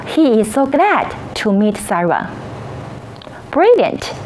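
A woman teaching a children's English lesson, encouraging and praising in slow, sing-song teacher talk. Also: on the high side, very animated, a little mellow, a little hiss or hum, poor audio.

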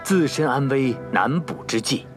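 A man acting an old, cautious Taoist strategist and minister in a historical drama, speaking formally and deliberately. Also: medium pitch, lively intonation, lightly acted. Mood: deferential, cautious. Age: older. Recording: loud music behind the voice.

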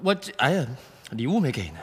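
A man's voice: fairly high pitch, very animated, fast talker, fairly bright tone, breathy edge, big-room sound, heavily acted. A man playing an awkward, hesitant college-bound son who stammers. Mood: flustered. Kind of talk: stage comedy sketch acting.

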